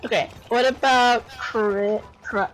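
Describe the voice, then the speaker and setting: A woman's voice: a little high, steady tone, slow pace, low-quality recording. Giggly young woman, voice-chat gamer. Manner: chatty, giggly, trailing fillers. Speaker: channel host rating horses. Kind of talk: casual game chat. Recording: faint game music behind.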